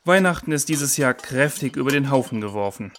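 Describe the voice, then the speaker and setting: A man's voice: mid-range voice, expressive, brisk, a bit bright, a little hiss or hum. A man playing a cautious, dutiful jungle station worker in a children's audio play, firm and reasoning in delivery.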